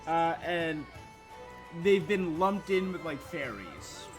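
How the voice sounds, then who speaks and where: A woman live-streaming a game with casual, halting commentary. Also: low voice, expressive. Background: game music.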